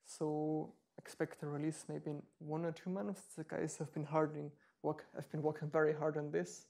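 Man, 30s, meetup presenter; dark gravelly voice, explains with ums and restarts. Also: fairly high pitch, little pitch movement, normal speed. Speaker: meetup speaker. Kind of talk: live tech demo talk. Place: meetup room.

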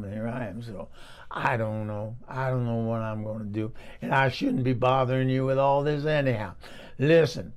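A man's voice: medium pitch, creaky, natural voice. A man voicing a chatty old Southern woman from Tennessee, telling a rambling folksy story with a Southern accent. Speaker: comic character monologue. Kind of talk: comic storytelling.

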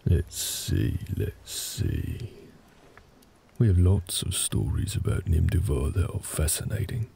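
A man playing a gentle, big orc husband in a comfort roleplay audio, telling a story in a hesitant, reassuring, gravelly way. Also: very animated, heavily acted. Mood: reassuring, thoughtful. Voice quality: very deep, gravelly. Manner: slow, hesitant, reassuring, conversational.

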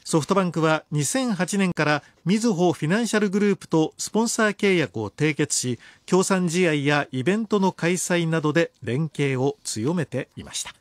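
A man reading scripted news in a formal, measured way. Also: a little high, expressive, no echo, studio quality. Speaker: newsreader.

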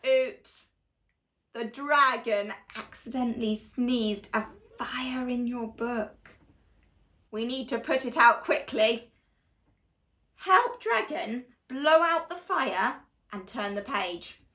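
A young woman reading a picture book aloud to small children in an animated, expressive, deliberate storytelling manner. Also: fairly high pitch. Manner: exaggerated, expressive, slow and deliberate delivery to children. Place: small living room, on a sofa indoors.

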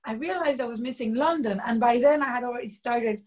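A woman in her thirties from Luxembourg with a husky voice, telling stories animatedly with frequent laughs over a video call from home. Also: on the high side, brisk. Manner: animated storytelling, laughs often. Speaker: musician guest. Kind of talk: casual video call interview. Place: home room, indoors.

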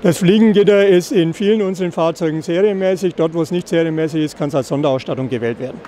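Higher-voiced middle-aged man presenting, noisy fair. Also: fast talker, dark tone. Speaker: caravan company representative. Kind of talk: product presentation in an interview. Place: caravan at an indoor trade fair. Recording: clear background noise of busy trade fair.

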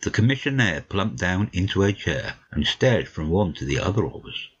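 A man playing an educated gentleman who narrates a detective story in a measured, literary way, on slightly lo-fi audio. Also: deep voice, big pitch swings, breathy edge, full character voice. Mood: measured storytelling.

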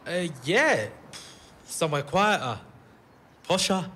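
An actor playing a brisk, businesslike young man in a naturalistic audio drama, casual and practical. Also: sits high, very animated, pushed and bright, slight background noise, full character voice. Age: young adult.

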